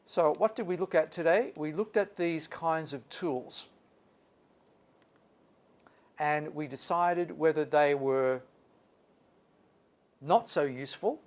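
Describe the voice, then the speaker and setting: Emphatic man lecturing a workshop. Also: on the high side. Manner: emphatic, repeats points for stress. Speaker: English lecturer giving a workshop. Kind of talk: live workshop talk to students. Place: lecture room.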